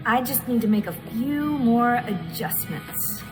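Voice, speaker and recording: An actress playing an eager, confident young tech whiz in a video game, upbeat and informal. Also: female voice, fairly high pitch, a little slow, over music, natural voice, low-quality recording. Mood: proud, upbeat.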